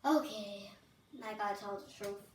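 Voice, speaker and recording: A child reading a picture book aloud and adding asides, with expressive, drawn-out words. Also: mid-range voice. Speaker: storybook reader for a channel. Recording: distant mic, roomy echo, faint noise.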